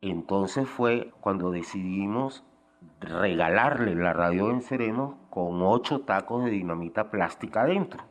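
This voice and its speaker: A man's voice: deep voice, expressive, measured pace. A man recalling past events informally in an interview. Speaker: interviewee, former guerrilla radio member.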